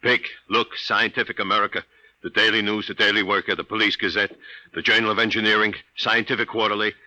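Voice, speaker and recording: A man's voice: on the low side, little pitch movement, fast, sharp tone, rough recording. An actor playing a dim, good-natured detective sidekick with slangy, ungrammatical tough-guy talk in a 1950s radio drama. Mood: eager, puzzled.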